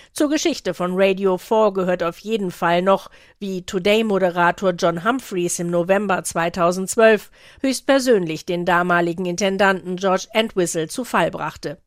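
A woman's voice: medium pitch, no echo, clean studio sound. A woman reading a scripted radio report as a foreign correspondent.

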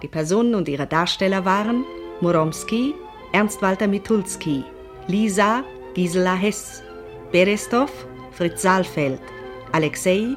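A woman's voice: mid-range voice, unhurried. A woman reading the closing credits of a radio play as a scripted announcement. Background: music.

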